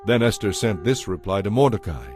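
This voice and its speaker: A man's voice: lowish voice, expressive, brisk, a little mellow. A man narrating scripture as a scripted, dramatized story, with a solemn storytelling delivery. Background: music.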